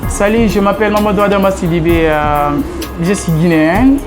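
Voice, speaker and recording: A man's voice: high-pitched, a little fast, over music. Hesitant Guinean French man. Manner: hesitant, many fillers. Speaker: interviewee. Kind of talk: street interview answer.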